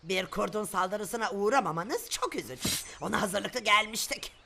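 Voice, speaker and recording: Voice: mid-range voice, animated, normal speed, gravelly, slight background noise. An actor playing a bumbling, overcautious, boastful yet nervous Viking in a dubbed children's cartoon. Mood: proud, chatty. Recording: loud music behind the voice.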